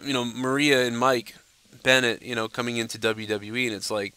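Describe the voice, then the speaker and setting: A man's voice: medium pitch, little pitch movement, a little fast, bright, forceful tone, smooth. A young man hosting a casual live stream, blunt and ranting, sounding a little nasal from a stuffed-up nose. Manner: blunt, ranting, loose and profane. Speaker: livestream host answering viewer questions.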